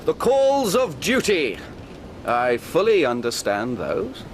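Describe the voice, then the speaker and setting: A mild, unctuous clergyman in a radio drama. His fairly high voice rises and falls widely in a wordy, deferential manner, over faint ambient noise. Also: wildly swooping pitch, slow pace, heavily acted.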